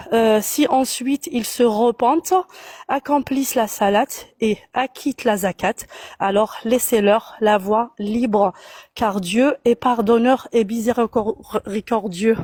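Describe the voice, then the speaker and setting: A woman from Algeria, a guest on a live stream, talking with many pauses, fillers and restarts. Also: on the high side, mellow, no echo. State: out of breath at first. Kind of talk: live call-in discussion.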